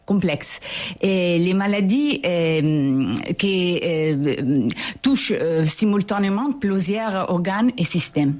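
This is a woman in her forties with an Italian accent and a slightly low voice. She is a physician answering an interviewer's questions. Her delivery is halting, full of fillers, as she looks for words in a language that is not her own. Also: a little mellow. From Italy. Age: 40s. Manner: hesitant, searching for French words with many fillers.

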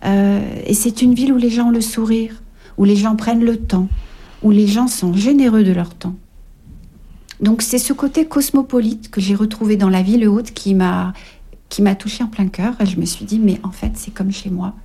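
A reflective woman author talks on a radio show, hesitating often with fillers as she gathers her thoughts. Her voice is mellow and soft-toned, at a middle pitch for a woman. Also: steady tone, moderate pace, breathy edge. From Paris, France. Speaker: author guest. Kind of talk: radio interview. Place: radio studio.

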